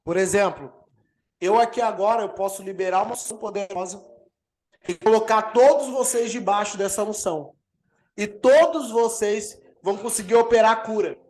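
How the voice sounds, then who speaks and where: A man with a Brazilian accent, an apostle teaching a healing course over a video call, energetic and emphatic as he answers questions. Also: sits high, normal speed. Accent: Brazilian Portuguese. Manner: energetic preacher, swells and emphasizes key words. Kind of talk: live online teaching with Q&A.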